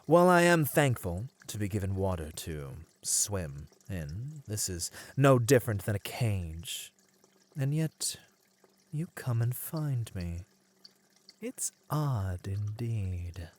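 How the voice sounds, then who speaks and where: A man playing a captive merman in an ASMR roleplay, charming, plaintive and slyly persuasive, speaking gently in formal, wistful phrases. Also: fairly low pitch, very animated, slow pace, dry recording. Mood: wary, wistful.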